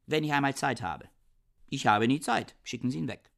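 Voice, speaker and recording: A man's voice: mid-range voice, normal speed, creaky, a bit bright. An actor playing a curt, reclusive male scholar who talks in a brusque, dismissive way in a radio drama.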